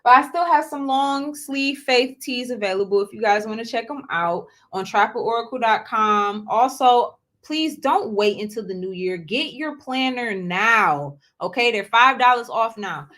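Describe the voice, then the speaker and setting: A woman's voice: fairly high pitch, measured pace, few pauses, fairly bright tone. A woman in her twenties hosting her own show, talking casually to viewers, often repeating herself and calling them y'all. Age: 20s. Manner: chatty, repeats words, addresses audience as y'all, asks okay?. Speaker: host. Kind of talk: casual solo talk to viewers.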